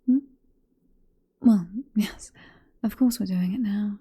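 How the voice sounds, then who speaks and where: A very dark, soft British female voice, breathy and husky, whispering close to the microphone. It is a sly, possessive vampire professor acted by a woman in an ASMR roleplay. She coaxes and teases in an unhurried murmur. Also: medium pitch, exaggerated voice. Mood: persuasive, soothing. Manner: coaxing, teasing, unhurried murmur.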